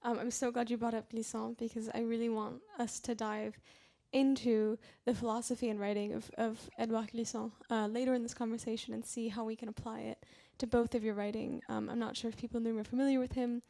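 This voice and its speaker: A woman's voice: a little high, flat delivery, a little fast, gravelly, few pauses, dark tone. A woman moderating a library panel, enthusiastically steering the conversation with questions.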